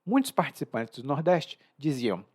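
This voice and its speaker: A man with a Brazilian Portuguese accent hosts a podcast lesson. He is chatty and expressive and slows down to stress key words. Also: on the high side, breathy edge. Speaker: language teacher and podcast host.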